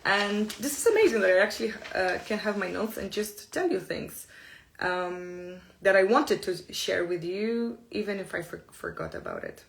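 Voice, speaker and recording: A woman's voice: medium pitch, very animated, gravelly, poor audio. Earnest woman with a Polish accent. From Poland. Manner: earnest, open, speaks in slow careful phrases. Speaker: self-help speaker. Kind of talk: personal talk to camera.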